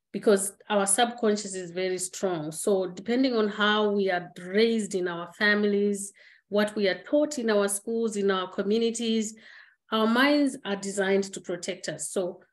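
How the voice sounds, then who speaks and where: A middle-aged woman from Zimbabwe speaking on a webinar call, reflective and earnest, pausing on fillers and restarting her sentences. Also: medium pitch. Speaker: guest speaker. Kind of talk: candid personal conversation. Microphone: webinar video call.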